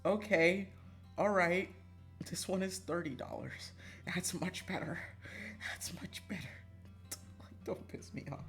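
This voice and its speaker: A game streamer chatting casually and excitably, swearing freely and swinging between calm asides and mock-angry outbursts. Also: medium pitch, a little slow, pauses often. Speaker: livestreamer. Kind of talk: casual chat while playing a visual novel. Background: background music.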